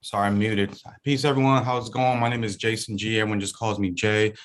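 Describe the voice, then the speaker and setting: A man speaking as a school wellness coordinator, giving a relaxed, chatty welcome talk full of fillers over a video call. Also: fairly low pitch, brisk. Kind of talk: casual welcome talk to students and parents.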